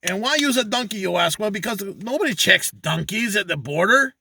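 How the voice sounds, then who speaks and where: A man's voice: sits high, lively intonation, fairly quick, creaky, bright, forceful tone, a little hiss or hum, voice pushed up, heavily acted. A man voicing a crude, boastful male donkey handler, rambling about himself in the third person in a comic monologue. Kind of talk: comedic character monologue.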